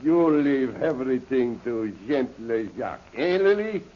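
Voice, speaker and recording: An actor playing a jealous, flattering French henchman in an old radio serial, speaking broken English with a French accent. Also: mid-range voice, measured pace, slight background noise, natural voice. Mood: fawning. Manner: broken English, oath-peppered, fawning. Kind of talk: 1930s radio serial acting. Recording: muffled old-time radio recording.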